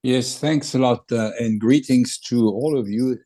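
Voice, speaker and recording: A man making measured, analytical remarks in an online discussion, often pausing on fillers. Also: medium pitch, mellow, dry recording. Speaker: discussion participant. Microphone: video call.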